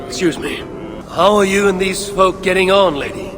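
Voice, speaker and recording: A man's voice: high voice, music in the background, natural voice. Stern Norse god played by a man, echoing hall. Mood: curious, composed. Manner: measured, formal, grave. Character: commanding male Norse god, stern, proud and measured. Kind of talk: video game cutscene acting. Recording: long hall reverb, clear background noise.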